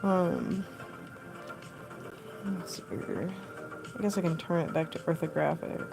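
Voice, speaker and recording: A young woman with a deep voice, chatting casually and rambling with lots of fillers while she sculpts on a live stream, recorded on a phone. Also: expressive, a little slow, creaky, over music. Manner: rambling, filler-heavy, laughs often. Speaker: costume artist streaming live.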